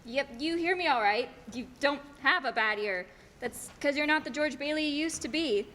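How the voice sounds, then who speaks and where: A woman's voice: high voice, animated, normal speed, pushed and bright. A teenage woman acting a whimsical, literal-minded guardian angel in a radio play. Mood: gentle, chirpy. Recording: noisy echoey hall stage recording.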